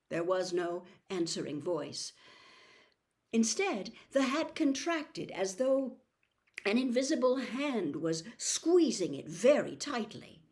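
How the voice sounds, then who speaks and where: A woman reading a storybook aloud as dramatic, suspenseful narration with deliberate, theatrical phrasing. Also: on the high side. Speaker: audiobook reader.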